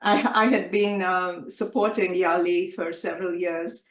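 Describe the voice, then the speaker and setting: A middle-aged woman, a native Kashmiri speaker from Kashmir, answering in an interview, talking in a measured way with many pauses, fillers and restarts. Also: lowish voice, little pitch movement, echoey room. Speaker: interview guest. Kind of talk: conversational interview.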